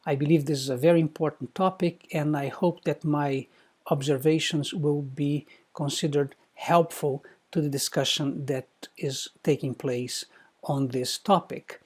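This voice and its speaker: Mellow middle-aged man. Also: fairly high pitch, steady tone, breathy edge. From Brazil. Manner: measured, formal, articulate. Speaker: university professor, guest speaker. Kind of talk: prepared recorded talk.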